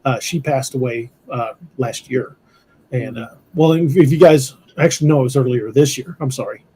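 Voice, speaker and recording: A middle-aged man hosting a live podcast, rambling and laughing, his voice a bit hoarse from shouting. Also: medium pitch, normal speed, a little hiss or hum, rough recording. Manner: rambling, self-interrupting, laughs often. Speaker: podcast host. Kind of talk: casual livestream chat. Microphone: headphones with mic on a video call.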